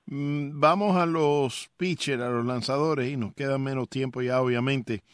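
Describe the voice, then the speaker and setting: A man's voice: medium pitch, a little slow, no echo, studio quality. A man hosting a casual sports interview, reacting and interjecting with short questions and exclamations. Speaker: host interviewer.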